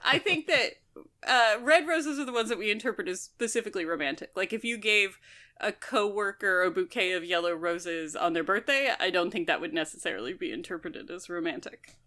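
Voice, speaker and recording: Woman, podcast host, bright crisp-edged tone, medium pitch, casual book chat, illustrative mock quotes. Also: lively intonation, fairly quick.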